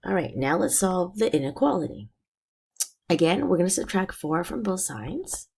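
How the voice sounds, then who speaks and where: A woman teacher explaining a math lesson step by step, her voice raspy and hoarse from being sick. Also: fairly low pitch, very animated, moderate pace, a little mellow. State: hoarse from illness.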